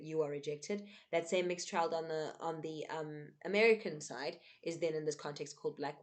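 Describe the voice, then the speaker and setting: A young South African woman, not a native English speaker, giving earnest unscripted commentary to camera, often checking in with "right?" between points. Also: low voice. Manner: earnest, emphatic, with frequent "um" and "right?". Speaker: vlogger.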